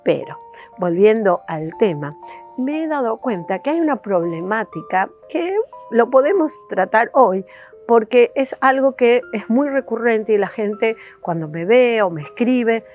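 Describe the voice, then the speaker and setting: A woman's voice: a little high, expressive, measured pace, a little mellow, soft background music. A woman with a Buenos Aires accent hosts as a life coach, chatting casually and directly to the viewer in a rambling way with questions thrown in. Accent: Argentine, Buenos Aires. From Argentina, Buenos Aires. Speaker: life coach and video host. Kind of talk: casual chat to camera.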